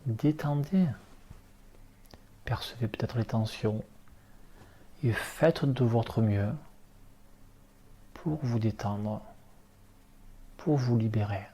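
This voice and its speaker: A man leading a guided relaxation meditation as an instructor, speaking calmly in short, unhurried instructions. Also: medium pitch, breathy edge. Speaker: yoga nidra relaxation instructor.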